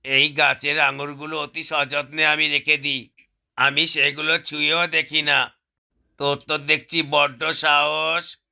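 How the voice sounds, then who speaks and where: A man voicing an angry, possessive fox in a cartoon, scolding and threatening with drawn-out words. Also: a little high, level tone, gravelly, pushed and bright, full character voice. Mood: indignant, scolding. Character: angry, possessive plump male fox guarding his orchard. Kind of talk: cartoon character voice.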